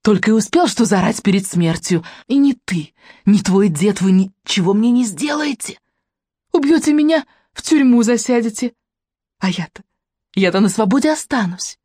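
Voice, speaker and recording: A narrator doing a coarse, spiteful villainess. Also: female voice, a little high, expressive, measured pace, pauses often, no echo, studio quality, voice pushed up. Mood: raging. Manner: mocking, shouting in rage. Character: coarse spiteful woman, gloating and vicious.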